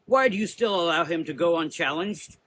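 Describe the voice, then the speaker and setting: Gothic drama matriarch, forties male actor: commanding, suspicious, sharp-edged, pitched up. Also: brisk, slight background noise. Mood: angry, accusing. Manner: authoritative, accusing. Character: stern commanding forty-year-old woman, head of a temple, suspicious. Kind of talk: gothic horror audio drama.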